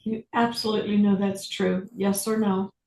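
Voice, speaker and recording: A woman facilitating an online group session, guiding people through questions in a calm, slow, deliberate way. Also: medium pitch, gravelly, echoey room, slight background noise, poor audio. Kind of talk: guided inquiry on a group video call.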